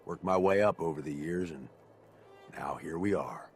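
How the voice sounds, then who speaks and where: A gruff video game raider, with a very low male voice. He is blunt, jaded and loyal, talking in plain folksy phrases. Faint music plays behind him. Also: normal speed. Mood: reflective, weary. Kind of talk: video game character dialogue.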